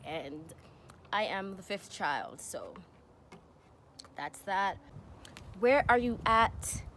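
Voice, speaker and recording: A woman's voice: medium pitch, slight background noise. A woman in her twenties from New York, chatting casually in a Q&A vlog and often filling with "you know". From New York, USA. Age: 20s. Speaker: vlogger.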